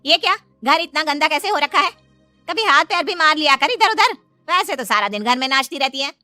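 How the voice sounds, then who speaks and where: Woman acting a sharp, high-pitched stern mother-in-law. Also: animated, fairly quick, soft background music. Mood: scolding. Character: stern middle-aged mother-in-law, proud and commanding.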